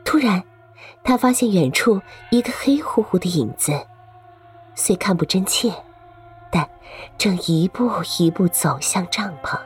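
A woman's voice: medium pitch, animated, measured pace, husky, soft background music. A woman reading scripted story narration for an audio drama.

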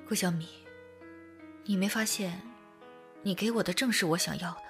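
A woman's voice: on the low side, normal speed, soft background music, lightly acted. A woman acting in a Chinese audio drama as a tender, wounded young woman confessing a past love. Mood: tender reassuring.

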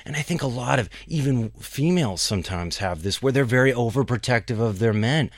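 A man's voice: medium pitch, lively intonation, a little fast, no echo. A man co-hosting a podcast, giving casual, supportive advice. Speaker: podcast co-host. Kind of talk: casual discussion.

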